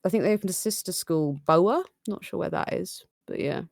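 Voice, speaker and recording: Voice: mid-range voice, fairly quick, gravelly, a little mellow, no echo. Rambling guest in dry chat. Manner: rambling, self-correcting, with many fillers. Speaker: guest audio maker. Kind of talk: casual livestream chat.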